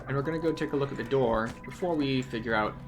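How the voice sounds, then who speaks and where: A man's voice: medium pitch, quick pace, music in the background. Methodical man guiding through a game. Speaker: video game tour guide. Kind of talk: playthrough commentary.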